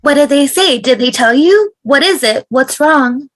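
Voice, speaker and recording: An indignant, self-important woman passenger, acted out by a woman in an online drama read. The voice is high and loud, with an impatient, demanding delivery. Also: lively intonation, measured pace. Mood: anxious, pressing. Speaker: table read performer. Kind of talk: amateur drama table read. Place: online video call table read.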